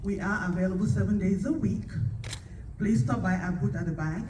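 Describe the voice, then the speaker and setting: A woman from Cameroon, a travel agent, giving a friendly, urging pitch to an event crowd. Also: normal speed. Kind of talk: short promotional pitch at an event. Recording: echoey room, distant mic, clear noise.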